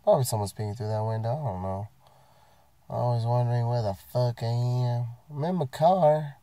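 A man's voice: medium pitch, normal speed, dark tone, clear, resonant tone, dry recording. Profane guy ranting in his car. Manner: profane, rambling, self-mocking. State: getting sick, voice going. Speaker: vlogger. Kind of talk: casual rant to camera. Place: inside his parked car.

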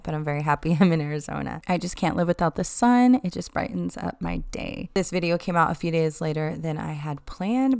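A woman in her thirties narrates her cleaning day in a casual, upbeat way. Her voice sits on the low side, smooth and resonant, with a gravelly fry at the ends of phrases. She laughs easily between thoughts. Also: a little mellow, no echo. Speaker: home cleaning vlogger.

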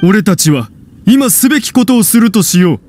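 A man's voice: high voice, animated, normal speed, a little mellow, soft background music. A man voicing a vain, hot-headed and scornful showman in an anime video game, pompous and theatrical in delivery. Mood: scornful, defiant.

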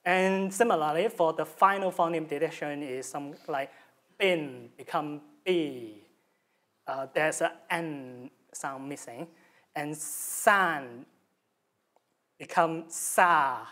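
A young man with a Hong Kong accent presents his research, a native Cantonese speaker talking in English. He is animated and gestures a lot, with frequent fillers and restarts. He speaks into a lectern mic in a lecture room. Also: a little high, takes it slowly. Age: young adult. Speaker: student presenter. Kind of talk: academic presentation. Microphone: stand mic at lectern.

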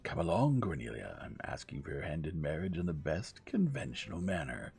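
A brash, defiant young man, acted out by a male audiobook reader, insistent and quick to argue. His voice is low and rough, with pitch that jumps about freely. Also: sing-song, a little mellow, full character voice. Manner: blunt, argumentative, insistent.

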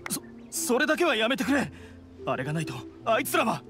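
A somber young male oni outcast in an anime game. He sounds guarded and weighed down by guilt, yet his pitch moves a lot, with faint music underneath. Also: big pitch swings, a little fast. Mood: desperate. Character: brooding, guilt-laden young blue oni outcast, self-doubting. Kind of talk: Japanese anime game voice acting.

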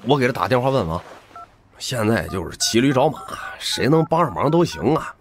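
A man's voice: medium pitch, sing-song, a little fast, faint music behind, lightly acted. A man playing a cheeky, eager young buddy, playful and wheedling, in an audiobook drama. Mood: excited.

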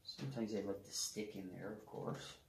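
A man's voice: on the low side, moderate pace, a little hiss or hum. A middle-aged man casually explaining a repair step by step while he works on a snowmobile engine in a shed. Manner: relaxed, talks while working on an engine. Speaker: garage mechanic host. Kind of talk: casual how-to repair demonstration. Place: open wood shed workshop. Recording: echoey with loud tool clatter.

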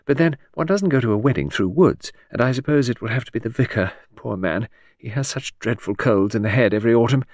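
A man's voice: medium pitch, animated, brisk, darkish tone, no echo, clean studio sound, pitched above the performer's own voice. A man voicing a vague, rambling aristocratic older woman in an audiobook, with breathless, trilling delivery. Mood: chattering. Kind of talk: audiobook character voice.

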